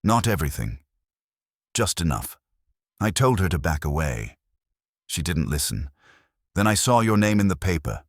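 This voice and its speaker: A man plays a guarded woman weighed down by complicity in an audiobook mystery. The voice is very low and husky, confiding and resigned, with expressive rises and falls. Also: normal speed, long pauses, no echo, clean studio sound. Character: guilt-ridden, guarded woman confessing quiet complicity. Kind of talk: audiobook character dialogue.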